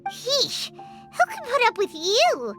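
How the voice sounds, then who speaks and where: Extremely squeaky tiny fairy. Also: female voice, huge pitch swings, slow pace, a bit bright, exaggerated voice. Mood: exasperated. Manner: exclaiming, exaggerated. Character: tiny excitable fairy companion, squeaky, childish, indignant. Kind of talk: scripted video game voice acting. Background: music.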